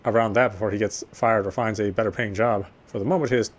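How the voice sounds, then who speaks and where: An actor playing a guarded young policeman who narrates his thoughts in a measured, wry voice for a voiced visual novel. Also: fairly low pitch, steady tone, natural voice. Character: guarded young male cop, dry inner monologue. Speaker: police officer. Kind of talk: first-person game narration.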